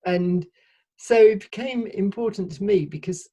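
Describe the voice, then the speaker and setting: A woman's voice: mid-range voice, moderate pace, dark tone, slightly rough. A woman from Sheffield, a podcast guest, explaining her ideas at length in a thoughtful, casual way with frequent restarts. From Sheffield, England. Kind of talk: casual conversational interview.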